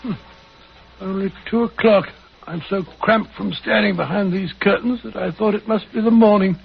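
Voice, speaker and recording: High, gravelly, blustering sidekick. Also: male voice, fairly even intonation, normal speed, heavily acted. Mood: grumbling. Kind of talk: 1940s radio drama acting. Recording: dull, noisy 1940s radio recording.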